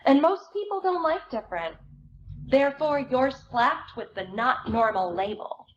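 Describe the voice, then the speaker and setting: A woman's voice: high-pitched, lively intonation, unhurried. A woman giving a dramatic reading of a book excerpt at a live event. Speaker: theater reader. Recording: dull recording with clear background noise.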